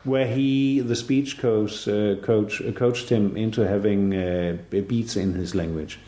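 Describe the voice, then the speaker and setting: A man's voice: lowish voice, fairly even intonation, unhurried, warm tone. Hesitant older Danish man, echoey room. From southern Jutland, Denmark. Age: 60s. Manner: hesitant, many fillers and restarts. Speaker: artist interviewee. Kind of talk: relaxed conversational interview.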